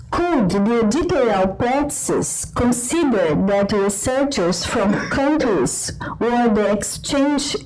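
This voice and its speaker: A Brazilian woman, a native Portuguese speaker, reads her prepared conference talk very slowly and deliberately. Her voice sits a little high and earnest, with faint instrument music under it. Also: a little mellow, low-quality recording. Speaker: conference panelist, researcher. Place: conference hall.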